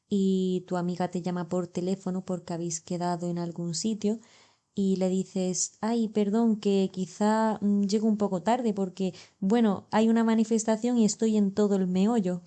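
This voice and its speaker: A woman, co-hosting a casual language podcast and giving calm everyday examples. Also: mid-range voice. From Andalusia, Spain. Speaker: podcast co-host. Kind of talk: casual educational chat.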